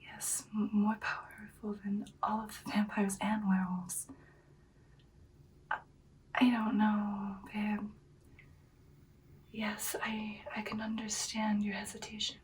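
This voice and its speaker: A woman playing a devoted, hesitant vampire girlfriend in an ASMR roleplay, speaking in a soft, breathy, halting whisper. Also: mid-range voice, flat delivery, recorded from a distance, a little hiss or hum, natural voice. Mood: pondering, hesitant. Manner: soft, halting, deliberate, intimate. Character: devoted, hesitant female vampire girlfriend plotting with her werewolf lover.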